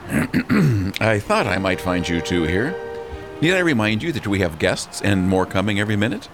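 A middle-aged man playing a gruff, protective lord and father in a radio drama, blustering and grumbling with emphasis. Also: on the low side, very animated, normal speed, music in the background. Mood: stern. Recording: clearly noisy outdoor ambience.

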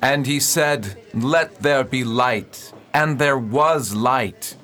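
A man's voice: medium pitch, very slow, a bit bright, soft background music. A man playing a prim, disapproving reverend. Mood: preaching. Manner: formal, disapproving. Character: stern, prim middle-aged male missionary reverend. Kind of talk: radio play acting.

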